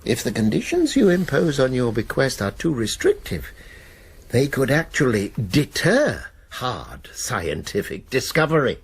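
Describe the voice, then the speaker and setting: An actor playing an older, diplomatic college Master in a radio drama, donnish and gently persuasive. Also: male voice, medium pitch, huge pitch swings, measured pace, slightly rough, full character voice. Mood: tactful persuasion.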